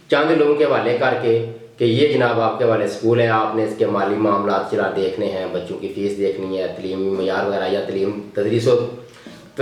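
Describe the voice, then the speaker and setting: A Pakistani man giving unscripted political commentary as a vlogger, speaking in halting, broken phrases as he thinks aloud. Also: fairly low pitch. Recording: very echoey, reverberant room.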